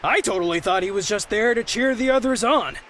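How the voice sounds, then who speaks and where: A woman's voice: mid-range voice, fairly quick, fairly bright tone, a little hiss or hum, natural voice. A woman voicing a doubtful young tournament fighter, hesitant and disbelieving in a video game cutscene.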